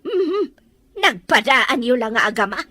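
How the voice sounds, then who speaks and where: A woman playing a caring young wife and daughter who speaks in a lively, brisk way in an audio drama. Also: on the high side, full character voice.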